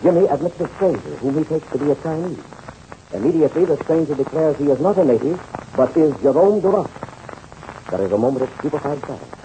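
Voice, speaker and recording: A man's voice: a little high, animated, fast talker, warm tone, close to an everyday voice. A man narrating an adventure serial as an announcer in the dramatic style of 1930s radio. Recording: noisy, muffled old radio transcription.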